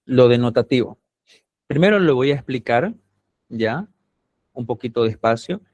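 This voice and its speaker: Male lecturer, camba accent from Santa Cruz, Bolivia. Mid-pitched, patient, explaining step by step, dry close sound. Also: lively intonation, normal speed, a little mellow, dry recording, muffled recording. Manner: patient, explanatory, often checks in with students. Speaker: university lecturer. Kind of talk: classroom lecture.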